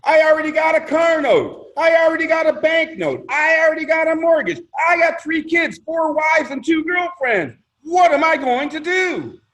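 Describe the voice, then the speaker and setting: High-pitched man giving an emphatic sales pitch. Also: expressive, normal speed, hardly any pauses, a bit bright, dull audio. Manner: emphatic, theatrical, with rhetorical questions and repetition. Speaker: presenter pitching a crowdfunding scheme. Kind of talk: motivational sales presentation.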